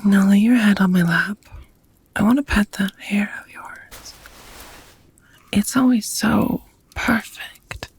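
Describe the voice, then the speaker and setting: A woman playing an affectionate, languid female vampire lover in an ASMR romantic roleplay, speaking softly in breathy whispers with slightly formal phrasing, close to the microphone. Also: mid-range voice, takes it slowly, pauses often, heavily acted. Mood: tender, adoring. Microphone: close microphone, dry.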